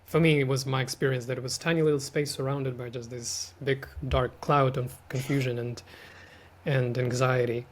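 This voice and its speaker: A man's voice: mid-range voice, little pitch movement, normal speed, a little hiss or hum. A man, talking as a podcast guest in a thoughtful, hesitant, casual way. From Ukraine. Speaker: podcast guest, artist and language teacher. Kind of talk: casual reflective conversation.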